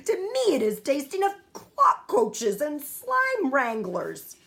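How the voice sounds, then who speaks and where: Woman voicing a kindly big giant, word-muddling, lifted and bouncy voice, drawn-out delivery, storybook. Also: high-pitched, wildly swooping pitch, creaky, a bit bright, voice pushed up, full character voice. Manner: jumbled made-up words, eager. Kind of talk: storybook reading.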